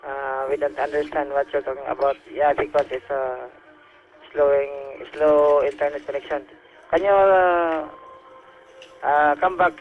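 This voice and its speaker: A man with a Filipino accent, an amateur radio operator chatting casually over the air. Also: medium pitch. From Philippines. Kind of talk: casual radio chat with call signs. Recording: muffled radio link audio.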